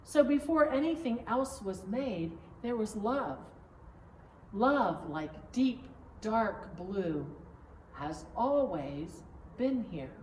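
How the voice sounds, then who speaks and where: A woman voicing a gentle, playful father telling a story to his daughter, as a picture-book read-aloud. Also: medium pitch, lively intonation, takes it slowly. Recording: roomy, distant mic, faint background noise.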